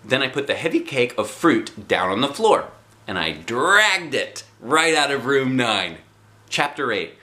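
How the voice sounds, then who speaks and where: An American man reads a children's story aloud. His tone is bright and his pitch moves a great deal, giving the storytelling an animated, expressive shape. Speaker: storybook reader, dad. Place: small indoor room, on a sofa by a window.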